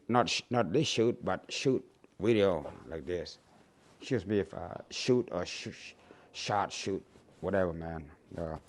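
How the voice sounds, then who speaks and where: A man in his sixties from Cambodia with a Cambodian accent, rambling and halting to his viewers, with many fillers and restarts. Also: sits low. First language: Khmer. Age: 60s. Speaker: YouTuber. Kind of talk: casual vlog to camera.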